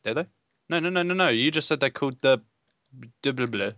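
A young man co-hosting a gaming video, chatting casually in a playful, excitable way. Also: medium pitch, brisk, no room sound, clean studio sound. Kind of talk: casual gameplay chat.

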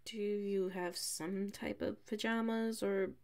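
A woman playing a flustered, defensive female classmate with a secret crush in an ASMR audio roleplay, huffy and halting. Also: mid-range voice, steady tone, a little mellow. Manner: halting, huffy, defensive, slips into muttered whispers.

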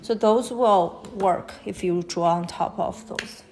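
A woman with a Chinese accent, whose first language is Chinese, talks haltingly while she draws fashion illustrations on a live stream. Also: mid-range voice, darkish tone. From China. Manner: halting, thinking aloud while drawing. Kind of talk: live-stream drawing commentary. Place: at a desk, drawing on paper.

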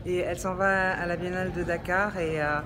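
A woman's voice: lowish voice, a little fast, crowd noise. A middle-aged woman, an exhibition organizer, talking animatedly with many hesitations into a phone camera held close in a gallery. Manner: animated, enthusiastic, hesitant fillers. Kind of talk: casual interview. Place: gallery room indoors, crowd around. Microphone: phone camera close to face.

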